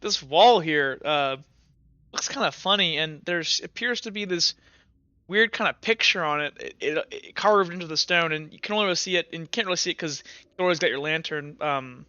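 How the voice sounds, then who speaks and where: Rambling tabletop game master, bright tone, dry and slightly dull recording. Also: medium pitch, fairly quick, clean tone, no echo. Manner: rambling, many fillers and hedges.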